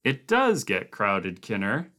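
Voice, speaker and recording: Reader as a mild commander, lower and slower, soothing. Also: male voice, huge pitch swings, sharp tone, heavily acted. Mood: calm. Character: calm, easygoing male camp commander.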